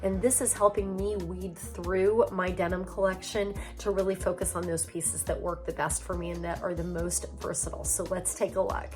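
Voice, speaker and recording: A woman hosting a casual style vlog from her home, her voice raspy and hoarse from a cold, chatty and upbeat as she talks to her viewers. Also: on the low side, level tone, moderate pace, few pauses, over music. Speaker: style vlogger and show host. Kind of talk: casual friendly wardrobe vlog.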